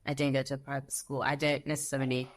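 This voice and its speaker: Guest: young Zimbabwean-accented woman, low voice, lively storyteller. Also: quick pace. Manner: animated storyteller, acts out quoted voices. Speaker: guest, lawyer. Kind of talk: conversational interview.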